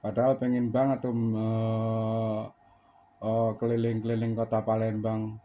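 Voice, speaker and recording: A man's voice: lowish voice, completely flat delivery, measured pace, mellow, slight background noise. Chatty man on amateur radio. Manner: chatty, polite. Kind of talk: casual ham radio contact.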